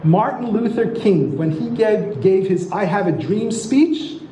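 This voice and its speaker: A man with a high, mellow voice teaches a lecture and keeps tossing rhetorical questions at the audience. His tone is warm rather than sharp, and the room around him rings with a noticeable echo. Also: animated, a little slow, slight background noise. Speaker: astrology lecturer and author. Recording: roomy echo.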